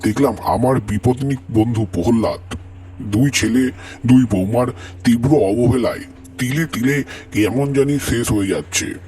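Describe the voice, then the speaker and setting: A man voicing a mysterious old stranger wrapped in a shawl, speaking in a grave, muffled, slow manner in a horror audio story. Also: medium pitch, darkish tone, slight background noise, close to an everyday voice. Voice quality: muffled, hoarse-edged.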